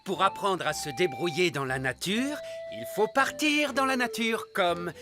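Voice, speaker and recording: A man's voice: sits high, animated, measured pace. A man playing a pompous, hearty old elf adventurer in a French cartoon dub. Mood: hearty, instructive. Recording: music behind the voice.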